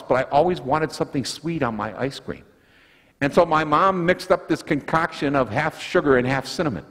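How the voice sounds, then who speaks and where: Animated older pastor in echoing church. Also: male voice, fairly high pitch. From United States. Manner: lively storytelling, folksy asides. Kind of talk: sermon with object lesson. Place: church sanctuary, indoors. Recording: long church reverb, slightly husky sound.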